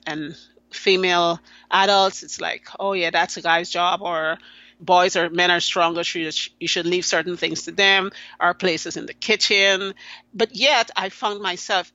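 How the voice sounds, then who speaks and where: A woman's voice: fairly low pitch, fairly even intonation, sharp tone, no echo, muffled recording. A woman from Guyana, a podcast guest, talking earnestly and often restarting her sentences. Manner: earnest, halting with many restarts. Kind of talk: conversational interview.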